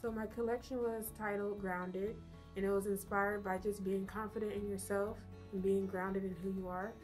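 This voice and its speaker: A young woman design student speaking in a steady, plain way. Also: mid-range voice, moderate pace, soft background music, rough recording. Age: young adult.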